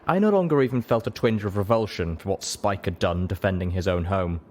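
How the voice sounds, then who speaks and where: A man reading a dramatic story as an audiobook narrator, measured, solemn and emotive. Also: sits low, darkish tone. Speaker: audiobook reader.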